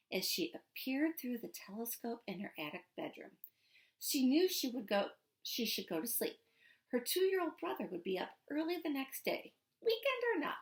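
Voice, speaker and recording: Woman, high-pitched voice, very lively intonation, expressive storybook read-aloud, emphatic phrasing for children. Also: creaky. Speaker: teacher reading a children's book aloud.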